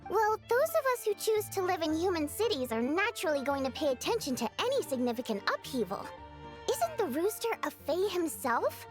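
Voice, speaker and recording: A woman's voice: extremely high pitch, big pitch swings, a little fast, soft background music. A woman playing a haughty, indignant young Fae theater performer in a video game, with a theatrical, probing manner.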